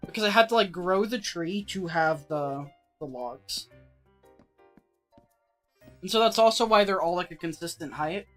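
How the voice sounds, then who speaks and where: A streamer chatting casually through a let's-play, rambling with lots of filler words and getting a little raspy. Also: mid-range voice, lively intonation, measured pace, long pauses, a bit bright, faint music behind. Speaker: streamer playing an old game version.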